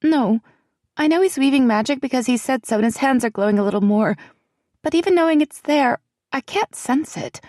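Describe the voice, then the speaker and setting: A high, fretful young woman with a mellow, dark tone, as a woman narrator puts her on. She speaks in quick, worried bursts, her voice lifted well above the narrator's own. The recording is dry and close. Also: expressive, no echo. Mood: anxious. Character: frightened young woman, anxious friend.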